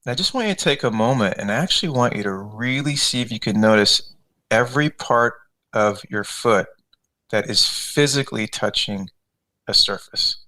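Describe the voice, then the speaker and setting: A man leading a guided meditation as a coach, giving unscripted, conversational instructions step by step with gentle repetition. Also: medium pitch, expressive, normal speed, low-quality recording.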